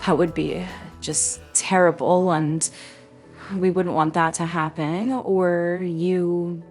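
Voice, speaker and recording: A woman playing a scheming, falsely sweet gold-digging widow in a roleplay drama, coy and smug with drawn-out mock sympathy. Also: fairly low pitch, level tone, a little mellow, faint music behind. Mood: coy, menacing.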